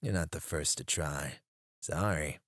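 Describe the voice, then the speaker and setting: A man voicing a deep, slow, taunting mercenary. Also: fairly bright tone, no room sound. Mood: mocking. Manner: slow, low, taunting, close to the mic with whispered lines. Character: menacing male mercenary interrogator, cocky and teasing. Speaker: ASMR roleplay voice actor. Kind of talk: ASMR roleplay.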